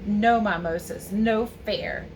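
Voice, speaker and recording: A woman voicing an excited, stubborn, imaginative little girl in a storybook read-aloud. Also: medium pitch, slow pace, gravelly, a little hiss or hum, rough recording. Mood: disappointed.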